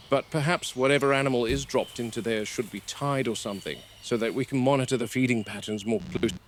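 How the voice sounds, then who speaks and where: A man's voice: mid-range voice, lightly acted. A man playing a stern, suspicious game warden with a clipped, sardonic delivery in a horror film. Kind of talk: found-footage horror film acting. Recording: noisy found-footage style audio.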